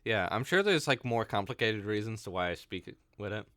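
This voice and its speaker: A young man from Ireland with a put-on American accent and a deep, resonant voice, chatting casually as a student radio host, jumping between low and high. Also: lively intonation, fairly quick, a bit bright, no room sound, studio quality. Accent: American-sounding, put on since his teens. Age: young adult. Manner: jumps between low and high, rambling with many "like"s. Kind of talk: casual chat.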